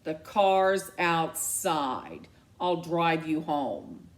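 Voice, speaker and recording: Storybook mom, played by a female reader, stern, protective and furious, low-pitched, emphatic delivery. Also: big pitch swings, full character voice. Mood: icy fury. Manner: slow, emphatic, clipped commands.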